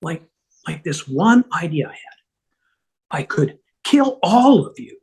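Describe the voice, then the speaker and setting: A man's voice: fairly high pitch, sing-song, a little slow, darkish tone, breathy edge, exaggerated voice. A man playing a frantic, pleading, unravelling man in a scripted comedy read over a video call. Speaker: fan table-read actor.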